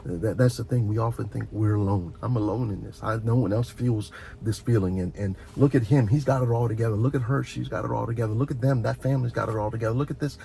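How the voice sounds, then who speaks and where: A man with a dark, fry-laced voice speaks over a faint low rumble. He talks off the cuff like a video host giving advice, addressing the listener directly and earnestly. His delivery is halting, with repeated words and fresh starts. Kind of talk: rambling, unscripted advice talk.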